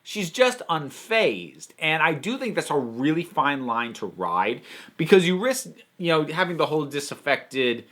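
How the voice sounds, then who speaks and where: Male review host, higher-pitched voice, lively and emphatic, casual delivery. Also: a little slow. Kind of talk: casual review monologue to an audience.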